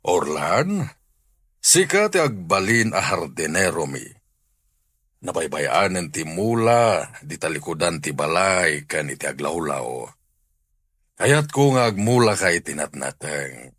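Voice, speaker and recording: A man acting a generous, wealthy householder in a radio drama, measured and authoritative, with an Ilocano accent. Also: sits low, big pitch swings, a bit bright, slightly rough, no echo, full character voice. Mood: instructing. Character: generous, welcoming wealthy householder hiring servants.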